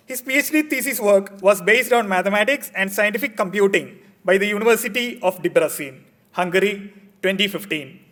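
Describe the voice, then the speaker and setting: High-voiced male conference emcee. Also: fairly bright tone. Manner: formal and measured, read from a script. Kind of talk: reading a formal introduction. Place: conference hall.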